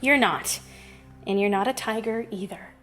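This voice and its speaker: A woman playing a defiant, quick-witted young woman in an audio drama, emphatic and taunting. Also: mid-range voice, music in the background, lightly acted. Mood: cold, challenging.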